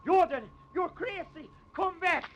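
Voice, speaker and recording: An actor playing an excitable, grumbling small-town sheriff in a folksy manner, in 1950s radio drama style. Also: male voice, very high voice, unhurried. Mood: alarmed. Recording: dull, noisy old radio recording.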